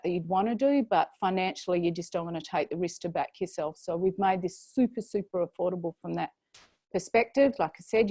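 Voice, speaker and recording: A woman with an Australian accent presenting a paid program in a chatty, filler-heavy way, her voice going slightly hoarse. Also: fairly low pitch, warm tone, no room sound. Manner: chatty, many fillers and restarts. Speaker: program host presenting an offer. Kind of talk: webinar sales pitch.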